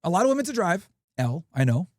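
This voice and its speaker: A man's voice: high-pitched, fast, dry recording, clean studio sound. A male livestream host giving brash, profane commentary and telling stories with lots of swearing. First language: English. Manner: brash, profane, animated storytelling.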